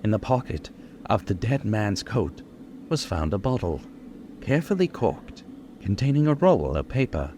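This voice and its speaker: An actor playing a solemn doctor, narrating formally and ominously in old-time radio style. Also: male voice, fairly low pitch, very animated, moderate pace, background noise. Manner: formal, dramatic.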